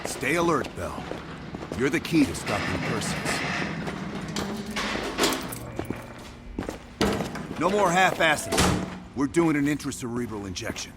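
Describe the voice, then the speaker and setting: An actor playing a stern, relentless covert operative in a video game cutscene, commanding and insistent. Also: male voice, medium pitch, big pitch swings, normal speed, heavy background noise, lightly acted. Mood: commanding, urgent.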